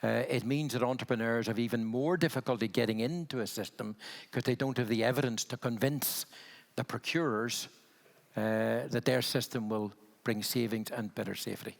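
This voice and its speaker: A gravelly-voiced man in his sixties speaks with a Belfast accent. He talks candidly at a conference panel, with a wry edge and frequent restarts. His pitch sits in the middle range. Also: normal speed, in a large hall. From Northern Ireland.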